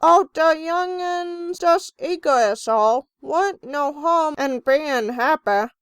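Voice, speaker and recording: A woman playing a kindly, forgetful elderly farm grandmother mare with a rural Southern American accent and a folksy, unhurried manner. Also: high voice, no echo. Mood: gentle, rambling. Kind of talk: audio drama character acting.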